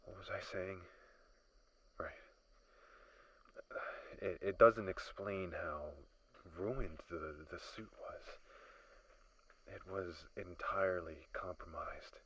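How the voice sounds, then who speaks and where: Guy doing a stranded space survivor losing it, really deep slow halting mutter, paranoid, horror audio drama. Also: fairly bright tone, exaggerated voice, rough recording. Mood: anxious muttering. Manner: halting, muttering, often dropping into whispers.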